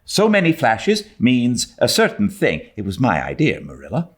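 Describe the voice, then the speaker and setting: A man voicing an excitable, talkative young girl who pleads effusively in a storybook reading. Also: mid-range voice, normal speed, voice pushed up. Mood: eager explaining. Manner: effusive, dramatic, breathless pleading.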